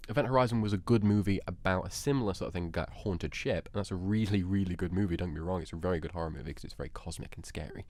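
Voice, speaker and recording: A man casually debating films and games as a podcast host, in a halting way that repeats and piles up clauses. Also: low-pitched, darkish tone, no echo.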